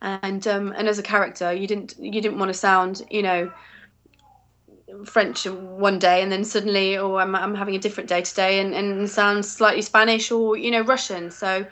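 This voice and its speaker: A woman's voice: mid-range voice, level tone, normal speed, a bit bright. Chatty English woman, rambling. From England. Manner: rambling, many fillers and restarts. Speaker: voice actress, interview guest. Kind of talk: casual interview chat.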